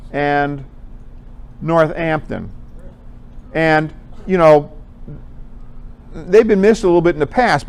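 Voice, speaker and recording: Clear-voiced man giving an informal presentation. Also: a little high, a little mellow. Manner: conversational, explanatory. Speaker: presenter at an amateur radio club talk. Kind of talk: informal slide presentation to a group.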